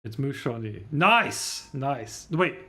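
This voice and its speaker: A man's voice: mid-range voice, lively intonation, slow pace, sharp tone, slightly rough. A man livestreaming a visual novel readalong, chatting casually and thinking aloud in a rambling way. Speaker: streamer reading visual novels.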